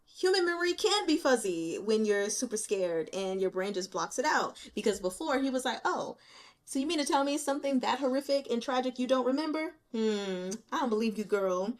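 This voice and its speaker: Candid, animated woman chatting about books. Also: on the high side. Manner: candid, animated, colloquial, quick to laugh. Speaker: host of a book reading channel. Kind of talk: casual chatty book commentary. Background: rain.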